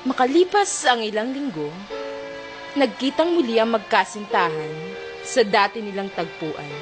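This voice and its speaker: A woman's voice: on the high side, animated, moderate pace. A young Filipino woman reading scripted narration for an amateur radio drama. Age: young adult. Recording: loud music under the voice.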